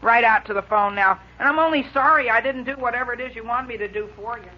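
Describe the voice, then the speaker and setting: Teenage boy, high lilting voice, earnest, gee-whiz, muddled, old radio sound. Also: fast. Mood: hesitant, earnest. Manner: earnest, dutiful, hesitant, gee-whiz boyishness. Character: eager, muddled, squeaky teenage son. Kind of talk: 1940s radio comedy acting. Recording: dull lo-fi 1940s radio broadcast.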